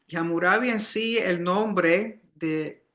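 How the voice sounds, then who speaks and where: Female study teacher, unhurried didactic delivery, clear forward brightness in the tone. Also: lowish voice. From Puerto Rican family, born outside the island. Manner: didactic, explanatory, often reminding listeners. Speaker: religious teacher. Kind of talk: Torah study lecture.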